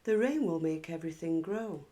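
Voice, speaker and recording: Woman reading as a kindly, expressive grandmother. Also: deep voice, moderate pace. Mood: reassuring. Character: kindly, encouraging storybook grandmother.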